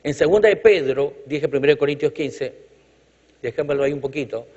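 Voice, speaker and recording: A man's voice: on the high side, brisk, darkish tone, breathy edge. A male preacher from Chile giving a sermon with emphatic, rhythmic repetition and light jokes to the congregation. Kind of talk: sermon with congregational call-outs. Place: church hall. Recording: reverberant hall, dull lo-fi sound.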